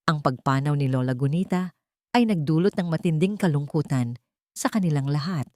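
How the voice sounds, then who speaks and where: A woman's voice: low voice, normal speed, a little mellow, no room sound, studio quality. A woman reading a scripted, sentimental love story as its storyteller.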